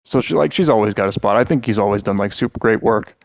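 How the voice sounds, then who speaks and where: A man's voice: fairly low pitch, fast, creaky, no room sound. A man co-hosting a podcast in casual chat, rambling and joking with lots of filler words and restarts. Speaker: podcast co-host.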